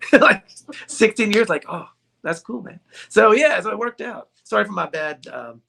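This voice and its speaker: Guy w slightly high voice, shop owner chatting, jokes and laughs a lot. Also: lively intonation, measured pace, creaky, fairly bright tone. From United States. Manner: jokey, laughs often. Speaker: ukulele shop owner, guest. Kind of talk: casual chat.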